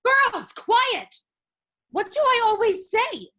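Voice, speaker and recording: A very high, bright voice: a woman playing an exasperated mother. She scolds sharply and impatiently, drawing words out slowly in a dramatic table read. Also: big pitch swings, heavily acted. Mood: scolding. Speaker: actor in a screenplay table read.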